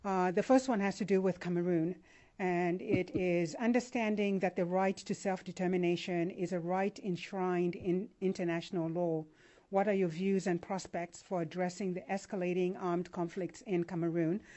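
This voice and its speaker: A woman moderating a public forum, brisk and polite, reading out questions. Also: on the low side, fairly even intonation, mellow. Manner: brisk, polite, with frequent uh fillers.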